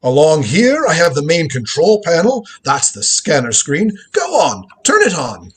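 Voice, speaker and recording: Man acting a husky, crotchety old inventor grandfather. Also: on the high side, huge pitch swings, unhurried, fairly bright tone, exaggerated voice. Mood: proud, lecturing. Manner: imperious, theatrical, lecturing. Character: crotchety, proud old grandfather inventor, time traveller from the future. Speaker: lead actor in a live script reading. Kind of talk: live reading of a radio drama script.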